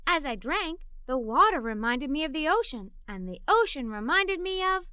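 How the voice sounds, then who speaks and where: Lady doing a kids read-aloud as a curious little girl, super bright voice, really dry close sound. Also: sits high, moderate pace, no echo. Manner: expressive, animated, with giggles. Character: curious, imaginative, excitable young adventurer girl. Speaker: storybook reader. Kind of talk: read-aloud children's story narration in first person as a young girl.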